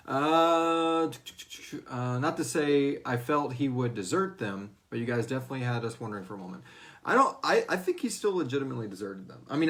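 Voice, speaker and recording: A man's voice: medium pitch, fairly bright tone. Casual man hosting a fan Q&A. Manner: informal, swearing, acts out quotes. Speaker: host and series creator.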